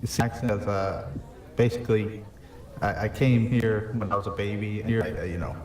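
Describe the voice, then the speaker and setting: A man's voice: lowish voice, steady tone, normal speed, a little mellow, echoey room, recorded from a distance, lightly acted, poor audio. A man improvising a young male mafia hitman with a New York accent, rambling and hesitant as he tells his backstory over voice chat. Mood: boastful. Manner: rambling, hesitant, full of "you know". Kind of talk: improvised character in a game voice chat.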